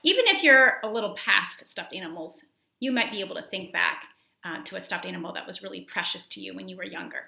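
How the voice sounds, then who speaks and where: Woman, medium pitch, children's storybook reader, expressive, careful phrasing, librarian. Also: fast, gravelly, husky. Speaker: school librarian reading aloud. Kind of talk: children's storybook read-aloud.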